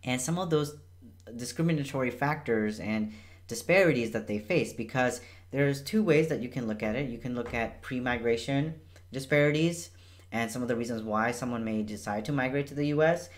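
A man's voice: mid-range voice, normal speed. A young man born in Bangladesh, a physician, giving a casual and earnest health explainer from a small room at home. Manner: casual, chatty and earnest, with emphatic repeats. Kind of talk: educational health vlog.

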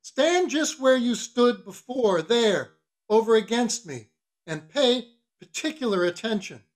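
Narrator doing a bossy whaling officer, slow and teasing, pitch jumps all over, audiobook style. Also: expressive, close to an everyday voice. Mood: commanding. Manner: commanding, teasing, mock-solemn questioning. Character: bossy, mocking whaling-ship officer, a hearty man at supper. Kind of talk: literary audiobook character reading.

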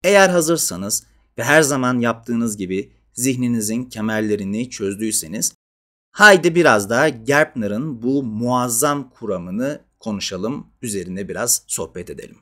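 Animated man giving a conversational lecture. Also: medium pitch, moderate pace. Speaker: host of a social science channel. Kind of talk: conversational educational lecture to camera. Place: indoor studio with dark curtain backdrop.

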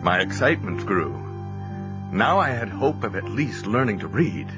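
An earnest farm man of the 1800s in an audio drama, recalling his life reflectively. His low voice rises and falls expressively over music. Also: big pitch swings, moderate pace, muffled recording. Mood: wistful. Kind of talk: audio drama first-person narration. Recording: music behind the voice.

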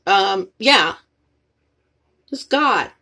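A woman's voice: medium pitch, animated, very slow, pushed and bright. A woman vlogging in her apartment, rambling and repeating herself as she talks straight to her viewers while filming with a phone. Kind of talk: rambling casual talk to camera. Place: apartment room, indoors. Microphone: phone camera pointed at the ceiling.

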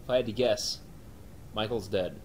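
A man playing a shaken, solemn police officer in an analog horror drama, speaking in a halting, matter-of-fact way. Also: close to an everyday voice. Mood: shaken, grim. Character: grim, shaken male police officer investigating monsters. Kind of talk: analog horror found-footage acting. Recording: noisy, rumbling amateur recording.

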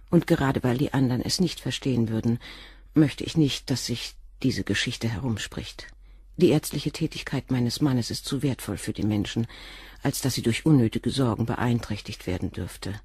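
An actress playing a composed middle-aged doctor's wife and former nurse, speaking frankly and in a measured way in a radio drama. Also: female voice, extremely low pitch, a little mellow, husky, dry recording, studio quality, exaggerated voice. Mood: earnest. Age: 40s. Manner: measured, frank, controlled confession. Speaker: witness questioned by the inspector.

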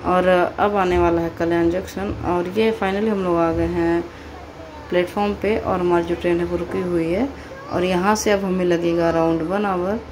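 A woman with a somewhat low voice narrates her family's train journey like a casual travel vlog. She talks loosely and chattily, dropping in English words and fillers. Faint noise hums under her voice. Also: steady tone, normal speed. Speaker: travel vlogger and mother.

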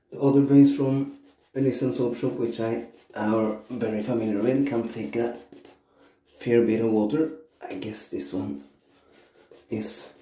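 A man in his thirties with a cold and a stuffy nose, reviewing shaving soap casually in his bathroom while shaving on camera. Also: medium pitch. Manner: slow, relaxed, frequent uh and um pauses. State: congested from a cold, sore throat. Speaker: shaving vlogger. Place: small bathroom indoors. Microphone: camera at arm's length. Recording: echoey bathroom.

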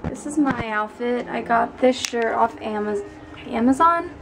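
A woman's voice: fairly high pitch, unhurried, recorded from a distance. A young woman chatting casually to a handheld camera in a hotel room, narrating her plans for the day. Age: young adult. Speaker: vlogger. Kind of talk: casual vlog chat. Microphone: handheld camera at arm's length. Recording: camera audio with background noise.

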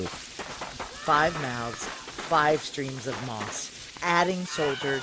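A hardened woman soldier turned part alien, narrating a science fiction audio drama. She speaks slowly and deliberately, with awe and intensity. Loud, sizzling effects surround her voice. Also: sits low, background noise. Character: tough woman soldier merged with alien moss, awed and exultant.

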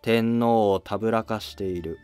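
A man's voice: on the low side, fairly even intonation, moderate pace, a little mellow, clean tone, no room sound, soft background music, natural voice. A man voicing a dignified, resigned mother of a prince, composed and fatalistic.

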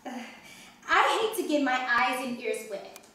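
A woman's voice: high-pitched, animated, measured pace, sharp tone, full character voice. A woman reading the part of an eager, chatty, artless twelve-year-old Trinidadian girl in a staged play reading. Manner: artless, boastful, giggly. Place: wood-paneled mansion room. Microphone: distant camera microphone. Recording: very echoey room, distant mic, faint noise.

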